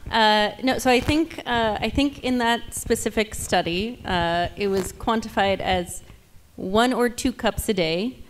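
A woman's voice: on the high side, a little slow, few pauses. A woman, a doctor answering audience questions informally on a panel with a handheld microphone. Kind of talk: informal expert Q&A. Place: auditorium stage. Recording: faint background noise.

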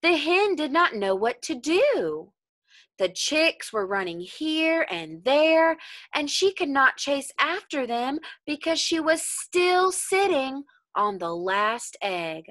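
Young female primary teacher for a children's read-aloud: bright, clear-cut delivery, animated and encouraging, dry sound. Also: high-pitched, takes it slowly, dry recording. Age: young adult. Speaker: elementary school teacher.

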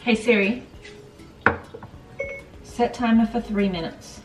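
A woman's voice: a little high, fairly even intonation, slow, music in the background. A woman chatting casually to camera as a health vlogger, recorded indoors at home. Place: indoors in a home kitchen and living room. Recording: roomy echo with clear background noise.

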